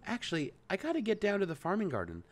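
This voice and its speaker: A no-nonsense young farm woman, read by a man in a lively, animated voice. She explains things briskly and plainly, rising and falling as she goes. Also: sits high, pitched above the performer's own voice, exaggerated voice. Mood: matter-of-fact.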